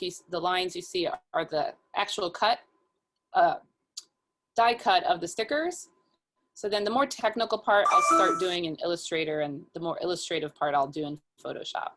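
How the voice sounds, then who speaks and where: A woman's voice: on the low side, normal speed, fairly bright tone. A woman speaking as an illustrator guest in a casual interview, explaining her process step by step in informal language.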